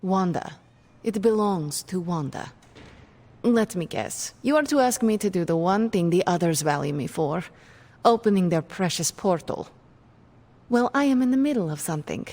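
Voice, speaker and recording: Casting: bitter young game sorceress, low creaky voice, haughty and curt. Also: female voice, expressive, moderate pace, a little mellow. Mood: bitter, guarded. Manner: curt, disdainful. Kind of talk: video game cutscene acting.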